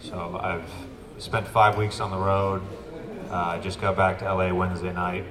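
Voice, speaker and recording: A young man, a pro basketball player, answering press questions in a steady, measured way with a light joke now and then. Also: low voice. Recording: echoey room, distant mic, crowd.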